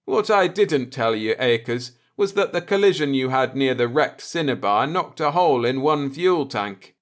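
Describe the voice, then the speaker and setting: A man voicing a coolly vindictive spaceship navigator in an audiobook, deliberate and sardonic as he explains. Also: medium pitch, expressive, lightly acted. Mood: matter-of-fact.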